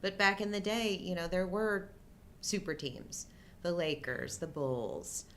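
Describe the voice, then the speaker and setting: A woman's voice: fairly low pitch, measured pace, a bit bright, a little hiss or hum. A middle-aged woman chatting casually as co-host of a live show. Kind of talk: casual chat.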